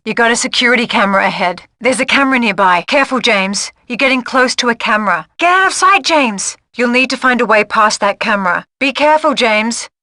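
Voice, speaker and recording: A woman playing a watchful intelligence agent guiding a spy in a video game with crisp, cautioning briefings. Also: fairly high pitch, lively intonation, brisk, few pauses, bright, forceful tone, no room sound, clean studio sound. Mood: urgent. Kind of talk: video game mission guidance.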